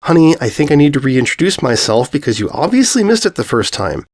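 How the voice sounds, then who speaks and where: A man's voice: a little high, very animated, a little fast, a little mellow, pitched above the performer's own voice. Man voicing a breezy, oblivious stallion pony. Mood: breezy, candid.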